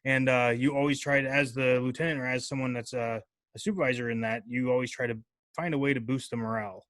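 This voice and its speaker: A man's voice: mid-range voice, steady tone, quick pace, fairly bright tone, dry recording. A man hosting a casual podcast interview, talking loosely with plenty of filler words. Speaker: podcast host.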